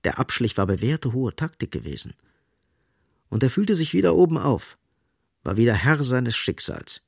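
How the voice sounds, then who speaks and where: A man's voice: mid-range voice, very animated, moderate pace, vocal fry, husky, no room sound, studio quality. A man reading literary scripted narration as the narrator of a radio drama.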